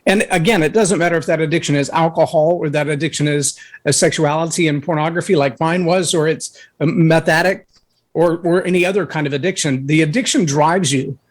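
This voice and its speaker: A man guesting on a talk show, telling a personal story in an earnest, anecdotal way, with a voice that can boom when he raises it. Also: fairly even intonation, brisk. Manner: earnest, anecdotal, acts out others' words. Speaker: guest speaker.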